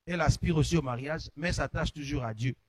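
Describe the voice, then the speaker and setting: A man's voice: a little high, a little fast, dry recording. Man hosting, dry sound. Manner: affirming interjections, amen and hmm. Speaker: host.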